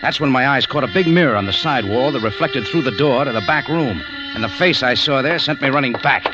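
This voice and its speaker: An actor playing a hard-boiled cafe owner in a 1940s radio drama, narrating and talking in a clipped, wry way. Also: male voice, mid-range voice, a little fast, loud music behind the voice, natural voice. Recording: dull old radio recording.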